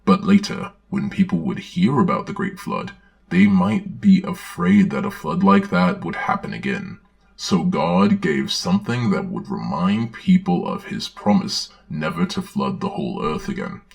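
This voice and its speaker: A man acts out a dark lord reading from a storybook. The voice is very low and full of gravelly creak. The delivery is measured and deadpan, with sardonic asides. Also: heavily acted. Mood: measured storytelling. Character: Darth Magog, droll dark-lord villain reading storybook aloud. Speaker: host and narrator. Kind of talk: dramatic reading with sardonic commentary.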